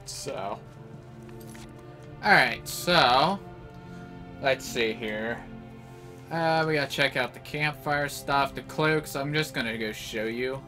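A man's voice: medium pitch, slow pace, pauses often, sharp tone, faint music behind. A man giving casual, rambling live game commentary in an offhand way. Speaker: gameplay host.

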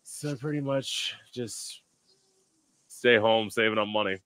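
A man from South Florida hosts a podcast over a video call at home. His voice sits a little low but rings bright and forward. He rambles freely, piling on fillers and quick asides. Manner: rambling, lots of fillers and laughs. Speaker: podcast host. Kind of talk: casual video-call chat. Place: home room with lamps.

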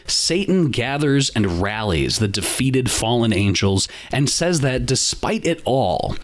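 Man, podcast host, scripted monologue, emphatic rhetorical delivery, average pitch. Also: very animated, unhurried.

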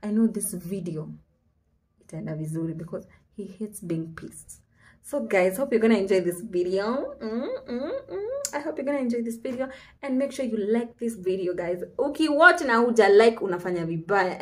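A woman's voice: medium pitch, expressive, normal speed. A woman in her twenties with a Kenyan accent, chatting casually as a vlogger and switching between Swahili and English. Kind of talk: casual vlog chat mixing Swahili and English. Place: small living room.

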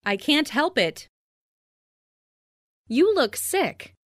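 A woman reads scripted English practice phrases in a clearly enunciated, instructional way. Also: high-pitched, very animated, very slow, a bit bright, no echo, clean studio sound. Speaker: language lesson narrator.

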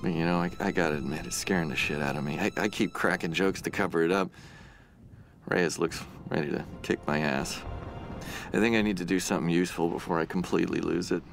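A man's voice: deep voice, fairly even intonation, fast, vocal fry, soft background music. An actor playing a nervous, wisecracking, self-doubting young man in a casual game monologue. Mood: uneasy joking.

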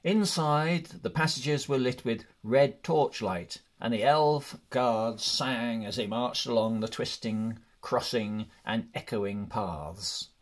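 A man narrating a storybook in measured, deliberate phrases. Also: mid-range voice. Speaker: audiobook reader.

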